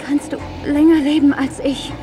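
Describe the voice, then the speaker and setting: Woman playing a loving, protective mother, higher-pitched. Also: little pitch movement, moderate pace, lightly acted. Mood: dying, urgent. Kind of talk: German radio play acting.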